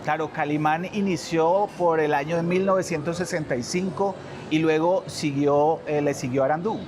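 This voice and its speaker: A man's voice: a little high, fairly even intonation, normal speed, background noise. A man putting formal questions as an interviewer.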